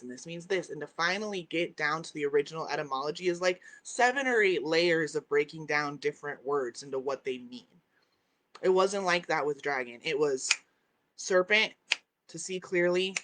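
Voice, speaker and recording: A woman's voice: low voice, a bit bright. A woman hosting a spiritual live stream from home, teaching with emphatic repeated phrases and a habit of asking "right?". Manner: reads out and answers viewer comments. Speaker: host. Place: at home by a window, with dogs in the house.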